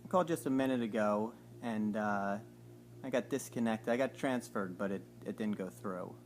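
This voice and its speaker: Slow, hesitant man on a phone call. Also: lowish voice, soft background music. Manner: slow, hesitant, mock-innocent questioning. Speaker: caller. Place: home living room by a window.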